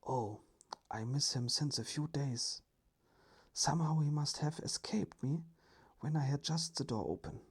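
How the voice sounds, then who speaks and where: A storyteller voicing a courteous, thoughtful older male professor in a breathy ASMR storybook whisper. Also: medium pitch, a little slow, pitched above the performer's own voice.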